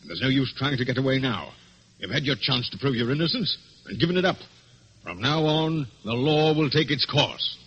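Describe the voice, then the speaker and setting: An actor playing a blustering, rigid police inspector with a British accent in an old-time radio thriller, formal in manner. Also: male voice, medium pitch, animated, moderate pace, fairly bright tone. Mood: stern. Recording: muffled old radio recording, faint noise.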